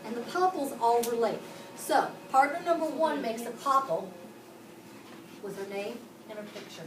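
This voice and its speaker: A woman from Pittsburgh teaches a class, walking through each step and putting questions to the children. Her voice sits a little high. She is picked up from across an echoey classroom with steady background noise. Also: moderate pace, far from the mic. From Pittsburgh, Pennsylvania. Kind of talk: classroom instruction. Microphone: camera across the room.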